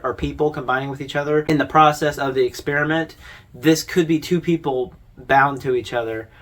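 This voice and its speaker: A man's voice: fairly high pitch, fairly bright tone. A man hosting a video essay, giving informal, conversational commentary as he explains things.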